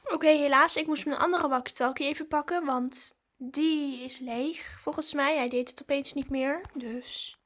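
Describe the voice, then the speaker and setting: A woman, chatting casually and excitedly to viewers as a vlogger. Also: high voice, normal speed, few pauses.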